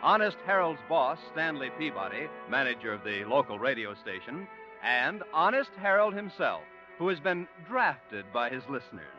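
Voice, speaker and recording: A man's voice: medium pitch, very animated, normal speed. Formal old-time radio announcer man. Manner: formal, declamatory. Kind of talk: 1950s radio announcing and narration. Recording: dull old 1950s radio broadcast with music.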